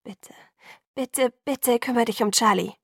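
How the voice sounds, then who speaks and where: A woman's voice: medium pitch, moderate pace, no room sound, studio quality, natural voice. A woman voicing a determined but torn teenage girl in an audiobook, earnest and emotional. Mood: tearful, pleading.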